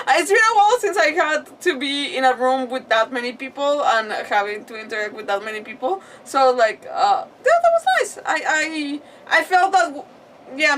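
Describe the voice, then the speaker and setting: A woman streaming a game and chatting casually, rambling and halting as she repeats her words. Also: fairly high pitch, fairly bright tone, slight background noise. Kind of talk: casual chat, reacting to a game. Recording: distant mic, game music behind. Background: game music.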